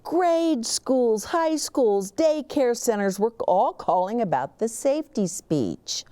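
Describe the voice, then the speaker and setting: A woman hosting storytime, reading a children's picture book aloud expressively, with dramatic pauses and emphasis. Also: on the high side, lively intonation, mellow. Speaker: children's storytime reader and host.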